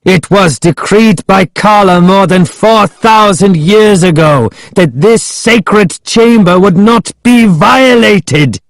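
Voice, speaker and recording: Guy doing a creepy zealot guarding an old curse, slow n solemn, proclaiming stuff like a storybook villain. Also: medium pitch, a little mellow, no room sound, poor audio. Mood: menacing, proclaiming. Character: menacing fanatical male guardian of an ancient curse. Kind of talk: audiobook character acting.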